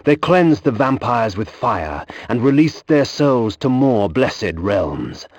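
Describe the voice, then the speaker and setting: An actor playing a brooding, aristocratic vampire who narrates with solemn, archaic, theatrical diction. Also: male voice, mid-range voice, very animated, slow, a little mellow, no echo, lo-fi sound. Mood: grave, reciting. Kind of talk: scripted gothic game narration.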